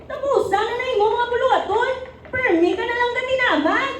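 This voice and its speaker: Nagging, anxious Filipino mother for radio drama, played by a woman; very high voice, echoing room with background noise. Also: a little fast, fairly bright tone. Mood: scolding. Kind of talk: amateur radio drama acting. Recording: roomy, noisy, distant microphone.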